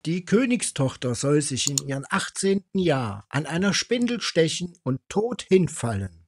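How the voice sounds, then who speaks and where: A man voicing a vengeful storybook wise woman who declares a curse loudly. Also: fairly high pitch, big pitch swings, normal speed, voice pushed up. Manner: loud, declarative.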